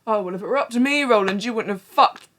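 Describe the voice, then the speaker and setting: A woman's voice: mid-range voice, fast, fairly bright tone, close to an everyday voice. A woman playing a hurt, sarcastic young woman. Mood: bitter. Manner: cutting, sarcastic, swearing. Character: hurt young woman confronting cheating partner. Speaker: drama graduate performing a scene. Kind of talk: stage scene acting.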